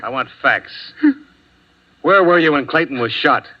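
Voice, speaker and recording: An actor playing a tough, unflappable insurance investigator, blunt and matter-of-fact, in 1950s radio detective drama style. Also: male voice, on the high side, a little slow, pushed and bright. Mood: cool, unimpressed. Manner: clipped, hard-boiled, matter-of-fact. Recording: dull, lo-fi old radio recording.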